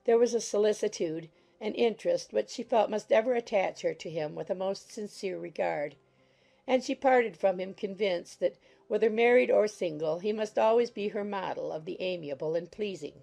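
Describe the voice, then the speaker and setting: A woman reading a classic novel as an audiobook narrator, in a formal, expressive storytelling manner. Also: mid-range voice, moderate pace, gravelly. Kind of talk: scripted literary narration.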